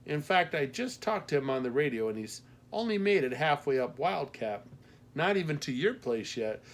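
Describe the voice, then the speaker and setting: A man's voice: fairly high pitch, sing-song, normal speed, voice pushed up. A man voicing a matronly small-town postmaster woman in an audiobook, folksy, gossipy and teasing.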